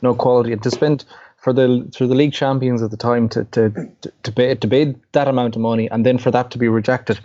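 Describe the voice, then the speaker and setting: Man, mellow voice, rambling, restarts a lot, dull lo-fi sound. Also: mid-range voice, steady tone, quick pace. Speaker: podcast panelist. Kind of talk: casual chat. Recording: dull lo-fi podcast audio.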